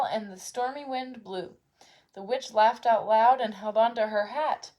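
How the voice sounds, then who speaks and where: A woman storyteller reading a children's picture book aloud expressively. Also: fairly high pitch.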